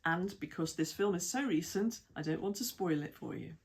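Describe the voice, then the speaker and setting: A woman with a British accent reading an article aloud in a measured, even way, with lists of titles and dates. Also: fairly low pitch, husky. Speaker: reader of an article. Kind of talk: read-aloud essay narration.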